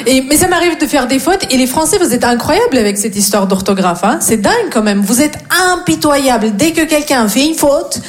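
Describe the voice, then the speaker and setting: A young woman from Russia with a Russian accent, doing a stand-up set and teasing the audience deadpan. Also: high voice, a little fast, few pauses. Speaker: stand-up comedian. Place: comedy club stage. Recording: echoey club with crowd laughter. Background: audience laughter.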